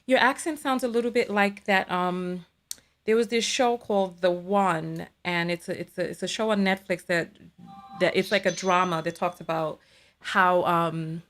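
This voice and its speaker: A woman's voice: on the low side, steady tone, moderate pace, a bit bright. A woman hosting a live talk show, asking a caller questions and relaying her points to the audience. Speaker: host. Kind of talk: casual chat.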